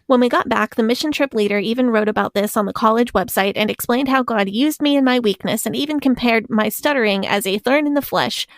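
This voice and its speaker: A woman's voice: fairly high pitch, fairly quick, few pauses, dry recording. A woman hosting a podcast, chatting earnestly and reading a listener story aloud on a close mic. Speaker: podcast host. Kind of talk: casual podcast chat.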